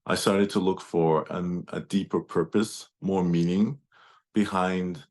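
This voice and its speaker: Man, very low voice, slow unhurried delivery, German first language, reflective, video call. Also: fairly even intonation, darkish tone. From Turks and Caicos Islands and Germany. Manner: thoughtful, reflective, with frequent pauses and restarts. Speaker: guest speaker. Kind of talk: personal storytelling.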